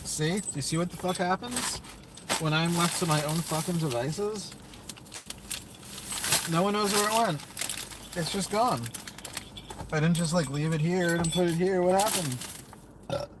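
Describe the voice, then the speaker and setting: A man's voice: fairly high pitch, normal speed, long pauses, noisy background. A young man livestreaming from inside a parked car at night, chatting in a rambling, scattered way to a phone camera held at arm's length. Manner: rambling, self-talking, scattered. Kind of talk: casual livestream chat.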